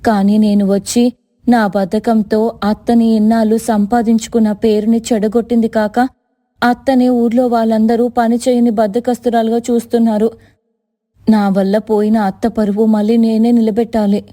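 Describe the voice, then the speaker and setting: Woman acting mellow, even-toned village family woman. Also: fairly high pitch, normal speed, lightly acted. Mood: remorseful. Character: humble women of a village tailoring family, mother-in-law and daughter-in-law. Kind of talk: animated moral story dialogue.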